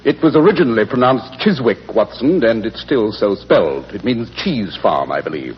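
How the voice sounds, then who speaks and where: An actor playing a brisk, sardonic English detective with a clipped, dry and teasing delivery in 1940s radio drama style. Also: male voice, on the low side, very animated, breathy edge, heavily acted. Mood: dryly ironic. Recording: muffled, reverberant, noisy old radio recording.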